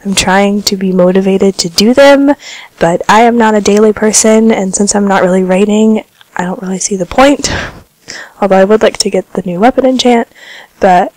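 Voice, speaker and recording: A woman talks casually over her game footage, a bit congested from a cold. Her voice sits in a mid range, and the recording is close and dry with no room sound. She rambles in a relaxed way, with plenty of ums. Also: medium pitch, no echo, poor audio. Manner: relaxed, chatty, filler-heavy. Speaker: gaming vlogger. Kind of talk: casual gameplay commentary.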